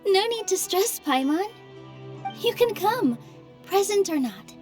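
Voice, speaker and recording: A gentle young theater dancer with a fairly high, soft-spoken voice. She is polite, modest and a little apologetic. Faint game music plays underneath. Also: sing-song, slow, full character voice. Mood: reassuring. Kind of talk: video game voice acting.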